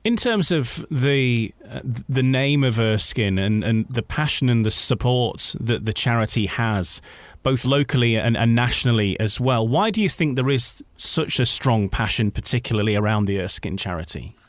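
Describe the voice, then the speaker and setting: A man with a medium-pitched voice hosts a radio interview. The sound is dry, with very little room around him. He phrases his questions conversationally, as a presenter would. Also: lively intonation, normal speed, few pauses, no echo.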